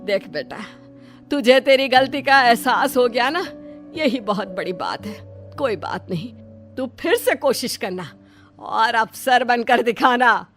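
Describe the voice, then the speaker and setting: Woman acting a bossy mother-in-law, bright piercing voice, nagging, sarcastic, cartoon story, music underneath. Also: fairly high pitch, expressive, takes it slowly, full character voice. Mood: consoling. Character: scolding, bossy but caring Indian mother-in-law. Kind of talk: animated moral-story voice acting.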